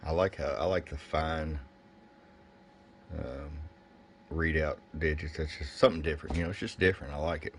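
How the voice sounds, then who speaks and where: A man's voice: very deep voice, steady tone, moderate pace, pauses often. A man giving a casual bench review of gear, plain-spoken and thinking aloud as he goes. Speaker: product reviewer. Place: indoor electronics workbench. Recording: faint background noise.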